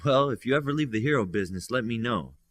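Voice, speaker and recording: Man playing curt, intense boy superhero, husky. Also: medium pitch, expressive, quick pace, natural voice. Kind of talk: audiobook character dialogue.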